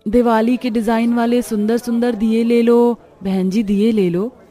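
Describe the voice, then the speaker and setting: A woman voicing a poor, gentle, long-suffering mother in a cartoon family drama, earnest and soft-hearted. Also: fairly high pitch, little pitch movement, a little slow, faint music behind, voice pushed up, natural voice. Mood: calling out. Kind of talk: Hindi animated family melodrama.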